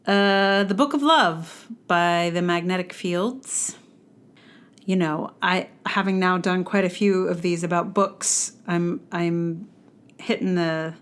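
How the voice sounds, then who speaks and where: Woman doing a solo podcast, talks slow, kinda wry and rambly, just thinking out loud. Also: lowish voice. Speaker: podcast host. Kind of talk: casual solo podcast talk.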